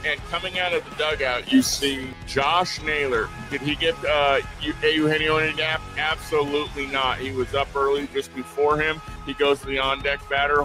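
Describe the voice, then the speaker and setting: Man, fairly high voice, enthusiastic sports commentary, clear music behind him. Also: rough recording. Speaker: podcast commentator.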